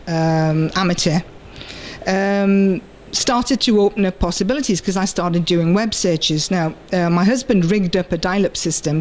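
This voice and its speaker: A middle-aged British woman translator, speaking thoughtfully in an interview and pausing often with fillers. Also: mid-range voice, darkish tone, a little hiss or hum. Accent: British English. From United Kingdom. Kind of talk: conference interview and personal story.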